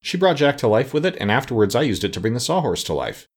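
A man's voice: mid-range voice, very animated, quick pace, pitched above the performer's own voice. A man voicing a plain-spoken, practical young boy in a children's storybook, blunt and colloquial. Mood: explaining.

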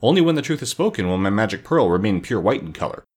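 A man's voice: lowish voice, big pitch swings, quick pace, darkish tone, breathy edge. A man voicing a stern, composed woman sorceress in a storybook, speaking with formal authority. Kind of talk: storybook character voice.

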